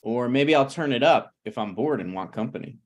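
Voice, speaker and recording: A man's voice: mid-range voice, expressive, a little fast, lightly acted. A man reading the part of a flippant, needling, self-deprecating screenwriter in a conversational comedy table read. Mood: wry, teasing.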